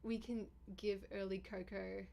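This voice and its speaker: A woman with a deep, raspy voice and a gravelly edge talks casually on a livestream. She keeps up a chatty, bubbly flow with viewers and thanks them often. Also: level tone, measured pace, warm tone. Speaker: livestreamer and YouTuber.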